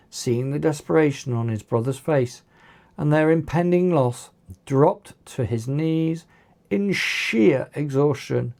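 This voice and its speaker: This is a storyteller reading an action tale in a dramatic, animated way. The pitch moves around a lot while the pace stays slow and measured. The tone is mellow, with a gravelly creak in it. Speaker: author narrating own book. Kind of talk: audiobook narration of children's action story.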